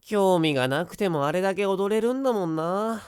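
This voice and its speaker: A performer playing an energetic, teasing teenage schoolboy in an anime-style voice drama, with boyish, slangy speech. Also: medium pitch, brisk, clear, resonant tone, no echo, clean studio sound, close to an everyday voice. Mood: earnest, persuasive. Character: energetic, upbeat teenage schoolboy, passionate dancer.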